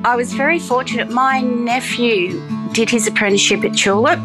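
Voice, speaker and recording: A woman's voice: on the high side, moderate pace, over music, rough recording. A woman speaking casually in an interview, chatty, with many ums and restarts. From Australia. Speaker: farm program coordinator.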